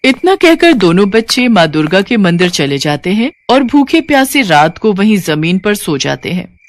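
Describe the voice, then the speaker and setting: लहराते स्वर में कहानी सुनाती महिला. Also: female voice, mid-range voice, very animated, normal speed, no echo. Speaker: storyteller. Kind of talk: scripted devotional story narration.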